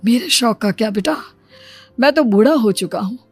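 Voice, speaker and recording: A woman's voice: fairly high pitch, animated, moderate pace, slightly rough. A woman voicing an ageing widowed father, loving and worried for his daughter, in a moral story. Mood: tender, resigned. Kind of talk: moral story character dialogue. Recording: music behind the voice.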